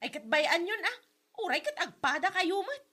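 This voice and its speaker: A woman's voice: very high voice, big pitch swings, normal speed, pushed and bright, exaggerated voice. A woman playing a young daughter who meddles between her parents, in an Ilocano comedy drama, with an Ilocano accent. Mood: puzzled, teasing.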